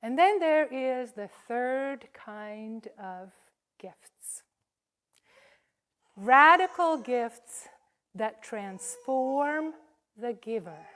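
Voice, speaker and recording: A woman giving a conversational sermon to a congregation, speaking as a preacher in short, paused phrases. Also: fairly high pitch, expressive, a bit bright.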